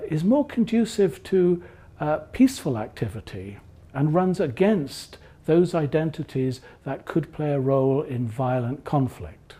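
An older man talks through his research in a mellow, slightly husky voice that rises and falls a lot. He speaks in a measured, explanatory way and often pauses on "uh" between ideas. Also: fairly high pitch. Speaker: philosophy researcher.

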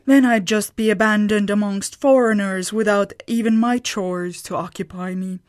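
A woman voicing a practical, exasperated farm wife who speaks bluntly and indignantly, at a slow pace. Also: medium pitch, animated. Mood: wry.

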